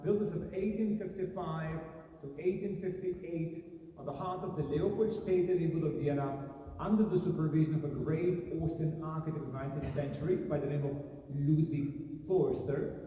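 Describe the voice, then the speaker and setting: A man's voice: a little high, normal speed, warm tone. A man in his fifties giving an explanatory guided talk in formal, elaborate wording. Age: 50s. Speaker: synagogue caretaker and guide. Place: inside a large synagogue hall. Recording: very echoey hall, distant, faint noise.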